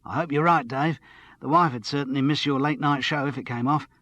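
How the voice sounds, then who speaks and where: A man's voice: mid-range voice, fairly quick, a bit bright, no echo, muffled recording, pitched above the performer's own voice, close to an everyday voice. A man voicing a chatty, ingratiating pub barman in an audiobook story. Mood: fawning. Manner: eager, ingratiating.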